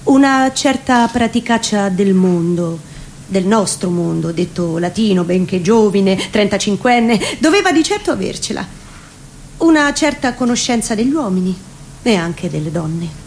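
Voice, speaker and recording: A woman's voice: medium pitch, animated, unhurried, darkish tone, slight background noise. A woman reciting literary prose as a narrator in a theatrical reading. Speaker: reciting voice in a radio theatre show.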